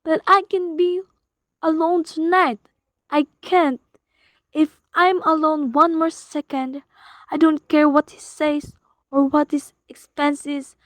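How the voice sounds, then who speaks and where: Woman doing high voiced nervy sick wife in a radio play, pleading and demanding, drags her words out. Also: steady tone, slow, dry recording. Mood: distraught, self-pitying. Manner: dramatic, fretful, pleading. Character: anxious, demanding invalid wife alone at night.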